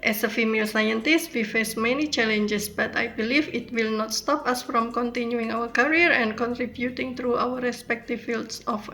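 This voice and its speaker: Bright, higher-pitched Indonesian-accented woman. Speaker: PhD researcher accepting an award. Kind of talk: prepared video speech.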